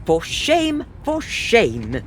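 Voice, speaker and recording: Woman playing an indignant wife, slow and swooping. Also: on the high side, huge pitch swings, slight background noise, full character voice. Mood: scandalised. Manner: haughty, reproachful. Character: indignant, scornful wife and mother. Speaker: actor in an audio farce. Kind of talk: comic period radio-play acting.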